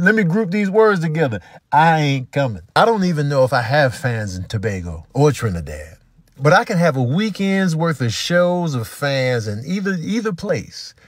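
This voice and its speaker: A man giving comedic, casual commentary in an emphatic, slangy, animated manner. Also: high-pitched. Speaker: comedian doing voiceovers and food vlogs.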